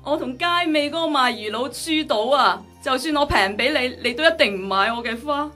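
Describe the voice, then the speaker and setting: A woman's voice: high voice, brisk, sharp tone. A woman with a Hong Kong Cantonese accent playing a cheeky, cheerful young flower seller in a radio drama. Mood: teasing. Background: music.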